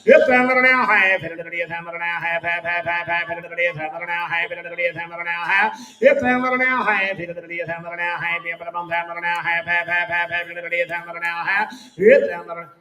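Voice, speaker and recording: Fast-chanting Midwestern man auctioneer. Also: on the high side, bright, forceful tone. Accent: Midwestern American. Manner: rhythmic rolling bid chant. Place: livestock sale barn. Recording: echoey barn from far off.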